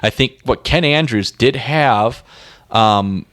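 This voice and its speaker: A man's voice: mid-range voice, slow pace, fairly bright tone. A man co-hosting a music podcast, chatting casually in a blunt, rambling, slangy way. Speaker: podcast co-host.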